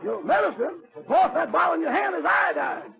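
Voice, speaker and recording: An actor playing a loyal manservant with a croaky, gravelly voice in a 1940s radio comedy, on a dull old recording. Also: male voice, extremely high pitch, expressive, a little fast, a bit bright, exaggerated voice. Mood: alarmed.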